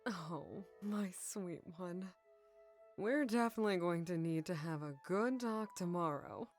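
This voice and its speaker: A woman acts out a knowing, tender mother in middle age. She speaks very slowly and affectionately in an audiodrama, soothing, with faint music underneath. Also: fairly low pitch, darkish tone. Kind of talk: audiodrama acting.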